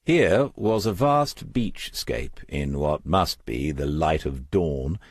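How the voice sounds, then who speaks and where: A man's voice: a bass voice, animated, takes it slowly, breathy edge, no room sound, studio quality. A man narrating a crime novel as an audiobook, reading scripted prose.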